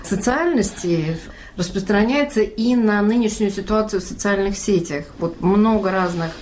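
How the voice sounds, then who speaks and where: A woman interviewer asking analytical questions in a measured, wordy, intellectual manner. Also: medium pitch, darkish tone, a little hiss or hum.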